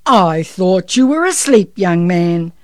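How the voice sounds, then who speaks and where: A woman's voice: sing-song, slow, no room sound, studio quality. A woman voicing a jolly, kindly old Santa Claus with a big, hearty voice in a children's story. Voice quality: big, booming.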